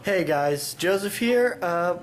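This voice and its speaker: A man's voice: high-pitched, lively intonation, slow pace, background noise. A man giving a casual vlog update, chatty and a bit hesitant. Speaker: vlogger.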